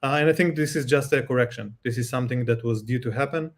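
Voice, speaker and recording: A man's voice: mid-range voice, quick pace. A man with an Israeli accent, a guest expert explaining things step by step in a live Q&A, with many fillers and restarts.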